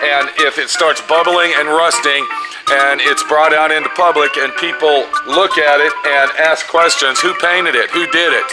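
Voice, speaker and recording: Raspy angry body shop guy, 50s, cussing every other word, cam held close, music going under it. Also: on the high side, fairly even intonation, normal speed, low-quality recording. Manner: heavy swearing, pissed-off ranting. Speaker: custom auto body shop owner. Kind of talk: angry workshop vlog. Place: large indoor auto body garage. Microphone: camera held close at arm's length.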